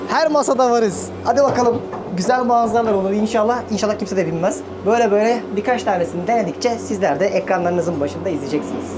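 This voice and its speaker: A man's voice: sits high, noisy background. A man hosting a casual travel vlog, talking to viewers in a chatty, informal, joking way. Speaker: travel vlogger.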